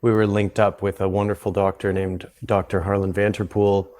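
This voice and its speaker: A man with a Canadian accent, a guest on an interview podcast, explaining his work in a relaxed way and often restarting his sentences. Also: lowish voice, normal speed. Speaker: guest, psychedelic retreat founder. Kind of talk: conversational interview.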